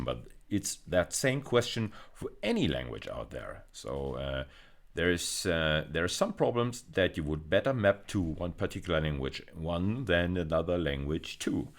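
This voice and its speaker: A man lecturing in an explanatory style, pausing and repeating words as he goes, with emphatic stresses. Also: low-pitched. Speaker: university lecturer.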